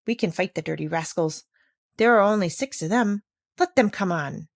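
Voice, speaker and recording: A woman's voice: fairly low pitch, moderate pace, creaky, dry recording, studio quality. A woman voicing a brave, scrappy male dog who is eager to fight in a storybook reading. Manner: eager, defiant.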